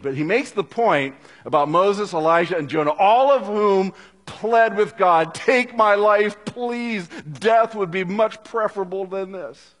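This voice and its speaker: A man preaches slowly and earnestly, his voice a little raised in pitch and slightly husky. He swings from loud emphasis down to quiet, hushed lines. A long hall reverb hangs around every phrase. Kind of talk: sermon.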